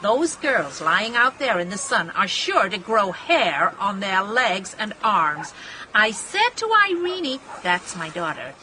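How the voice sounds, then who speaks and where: A woman's voice: mid-range voice, big pitch swings, slow pace, sharp tone, a little hiss or hum, heavily acted, poor audio. A woman playing a chatty, domineering middle-aged American wife in a radio drama, gushing and forever seeking agreement. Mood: chatty, fussy. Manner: gushing, garrulous, seeks constant agreement.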